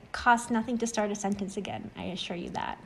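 A woman's voice: medium pitch, fast talker, creaky, slight background noise, close to an everyday voice. A woman playing a reassuring, encouraging, talkative friend in an acted short-story reading. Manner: encouraging, explanatory. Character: reassuring, talkative friend who overcame extreme anxiety.

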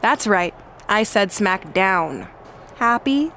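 A woman's voice: mid-range voice, sing-song, slow pace, sharp tone, a little hiss or hum, exaggerated voice. A woman playing a smug, self-assured fighter turned wrestling boss in a video game, taunting and self-important. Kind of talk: wrestling game cutscene acting.

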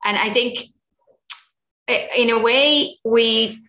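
Woman, video-call panelist, crisp bright voice, thoughtful and halting, roomy laptop sound. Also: on the high side. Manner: thoughtful, halting with many restarts. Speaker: education academic. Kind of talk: panel discussion. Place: small room at home, indoors. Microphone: laptop webcam on a video call.

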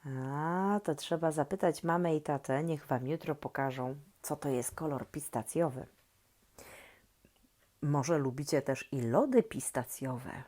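A woman with a low voice tells stories to young listeners at a very leisurely pace. She is gentle and playful, often turning to the children with questions and letting expressive pauses hang. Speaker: children's story reader and host. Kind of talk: reading aloud to young children, talking to the listeners.

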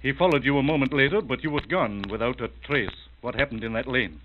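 An actor playing a grave, courteous questioner in a 1940s-style radio drama, measured and formal. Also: male voice, mid-range voice, natural voice. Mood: questioning. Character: grave, courteous male questioner breaking bad news. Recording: narrow, tinny, hissy old radio recording.

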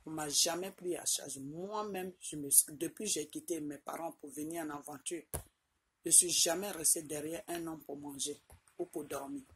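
A middle-aged woman with an Ivorian French accent speaks to her phone held at arm's length in a small room indoors. Her voice sits very low for a woman, and she drives each point home with repeated "ok?" tags. A faint hiss hangs under the recording. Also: expressive, moderate pace, gravelly, a bit bright. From Côte d'Ivoire. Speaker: vlogger. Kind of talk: direct-to-camera personal address. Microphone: phone camera at arm's length.

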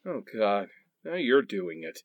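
A man acting an exasperated husband, swooping pitch. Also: very animated, takes it slowly, no echo, narrow, tinny audio. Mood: defensive, exasperated. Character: defensive, exasperated husband bickering with his wife. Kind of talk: radio play dialogue.

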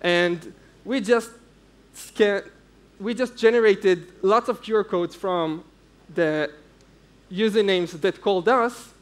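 High-pitched young man presenting a tech talk. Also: takes it slowly. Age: young adult. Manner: informal, explaining step by step. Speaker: conference speaker, security researcher. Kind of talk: technical conference presentation. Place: indoor stage at a conference.

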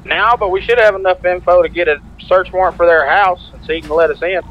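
A man's voice: high voice, quick pace, dull audio, slight background noise. A man talking casually as a law officer over the radio in an online game roleplay.

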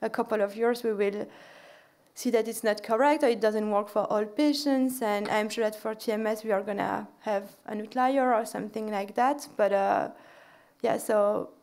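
A woman's voice: mid-range voice, steady tone, normal speed, darkish tone. A woman lecturer answering audience questions at a podium in a large lecture hall, with many fillers and restarts.

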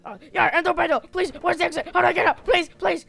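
Woman playing a cartoon pirate fox, high and bright, frantic, bewildered, exclamatory, comedic skit delivery. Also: takes it slowly, vocal fry, faint music behind, heavily acted. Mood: panicked, exasperated.

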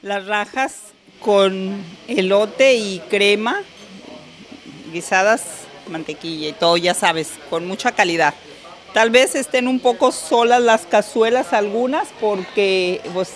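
A woman's voice: mid-range voice, unhurried. A middle-aged woman restaurant owner giving a proud tour, explaining dishes into a handheld mic. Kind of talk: interview and guided tour. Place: restaurant, partly outdoors. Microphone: handheld microphone.

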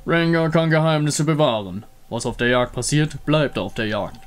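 Ein Mann spricht einen stolzen, prahlerischen Löwenkrieger in einem Fantasyspiel, der von sich in der dritten Person redet. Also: male voice, a little high, moderate pace, lightly acted. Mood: proud, pleading. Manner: speaks of himself in third person, boastful. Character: proud boastful male lion-beast hunter.

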